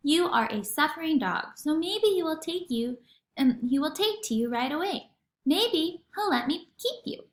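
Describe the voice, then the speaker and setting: A woman's voice: high voice, animated, moderate pace, reverberant, pitched above the performer's own voice. A woman voicing an earnest young storybook girl who pleads eagerly and emphatically. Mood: hopeful.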